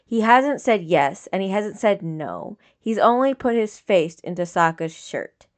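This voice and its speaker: A woman's voice: medium pitch, big pitch swings, unhurried. A woman reading scripted fiction as an audiobook narrator.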